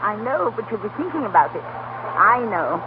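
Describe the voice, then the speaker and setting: An actress playing a fussy, gossiping middle-aged aunt, chattering with theatrical self-importance in a 1930s radio play. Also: female voice, medium pitch, big pitch swings, a little fast, close to an everyday voice. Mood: gossipy, indignant. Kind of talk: 1930s radio drama acting. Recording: noisy, tinny 1930s radio broadcast.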